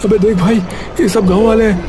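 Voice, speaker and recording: A man voicing an anxious young village friend in a cartoon story, with a colloquial, excitable way of talking. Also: high voice, a little fast, over music, natural voice. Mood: astonished.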